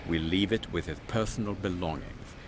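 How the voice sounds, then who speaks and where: A man playing a pompous British army captain in a comic Victorian audio drama, gentlemanly and blustering. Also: sits low, normal speed, noisy background, lightly acted. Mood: formal, courteous.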